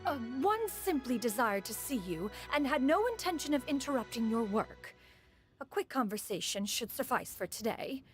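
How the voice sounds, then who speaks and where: A woman's voice: on the high side, big pitch swings, normal speed, soft background music. A woman voicing a proud, dignified ancient immortal in a fantasy video game, with a formal, haughty, motherly manner and archaic wording. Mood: formal, fond.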